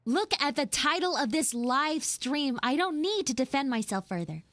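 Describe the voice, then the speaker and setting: A woman voicing a defensive, flustered tsundere anime schoolgirl who acts mean but is secretly soft, in an improvised livestream roleplay. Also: high-pitched, sing-song, dry recording. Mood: indignant. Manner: defensive, pouty, halting and repetitive. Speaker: livestreamer and VTuber voice actress. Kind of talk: improvised live audio roleplay with chat asides.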